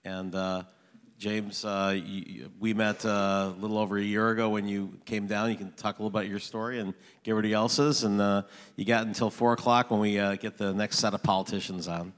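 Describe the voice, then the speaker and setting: A man with a lowish voice opens a panel discussion, hesitant and leaning on fillers as he goes. The long reverb of a big hall hangs around his voice. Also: flat delivery, fairly quick. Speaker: panel moderator. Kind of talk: casual panel introduction.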